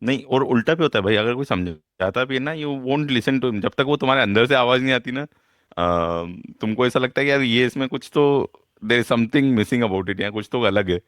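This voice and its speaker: Indian guy, normal pitch, casual thoughtful chat mixing hindi and english, no room echo. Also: normal speed, a bit bright, studio quality. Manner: mixes Hindi with English phrases.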